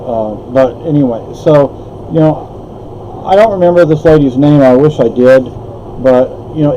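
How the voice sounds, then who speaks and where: Older man, Spanish first language, warm low-key delivery, wanders off on tangents and restarts his sentences. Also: muffled recording, a little hiss or hum. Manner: meandering, with frequent restarts and asides. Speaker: vlogger. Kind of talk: rambling personal monologue.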